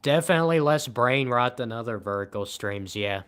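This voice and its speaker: A man in his twenties chatting casually on a late-night livestream in a flat, monotone, low-key way. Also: normal speed, clean tone. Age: 20s. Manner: monotone, calm and low-key, says he shows little emotion. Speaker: livestream host.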